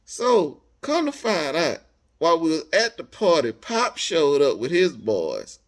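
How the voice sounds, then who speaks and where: An American man telling a personal story casually, in animated, slangy speech, acting out bits of dialogue as he goes. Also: on the high side, measured pace.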